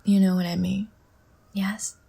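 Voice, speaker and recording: Woman playing a languid, breathy, half-whispering vampire seductress. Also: mid-range voice, level tone. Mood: soothing, teasing. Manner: slow, soft, teasing and soothing. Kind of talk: intimate ASMR audio roleplay.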